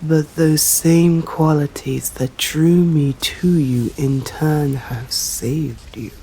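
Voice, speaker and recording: A woman with an English accent voicing an ancient, stern female vampire in an ASMR roleplay, speaking formally in slow, hushed whispers. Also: deep voice, steady tone, a little hiss or hum, poor audio. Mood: tender.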